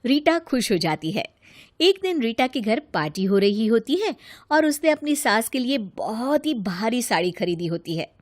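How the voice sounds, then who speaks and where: A woman's voice: a little high, very animated, normal speed, dry recording. A woman narrator reading a scripted story.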